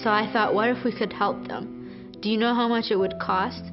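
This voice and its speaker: A woman's voice: medium pitch, steady tone, normal speed. Earnest schoolgirl narrating over guitar. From Ethiopia. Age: teens. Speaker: student. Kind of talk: scripted video narration. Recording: muffled, over guitar music.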